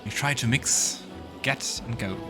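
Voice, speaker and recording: A man's voice: mid-range voice, a little slow. A man giving casual let's play commentary and narrating scenes from a fantasy game. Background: game music.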